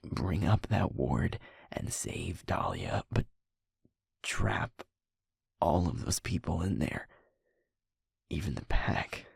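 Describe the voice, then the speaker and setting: A young man playing a sweet, guilt-ridden werewolf who is second in command of his pack, speaking in a breathy, halting, confessional way for an intimate audio roleplay. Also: low-pitched, sing-song, no room sound, studio quality, heavily acted. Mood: haunted, subdued. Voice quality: breathy, husky, often falling to a whisper. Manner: halting, heavy pauses, confessional.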